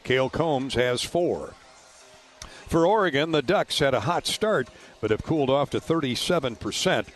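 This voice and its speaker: Guy doing radio sports halftime stats, kinda halting, medium pitch voice. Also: lively intonation, faint music behind. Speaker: sports radio play-by-play host.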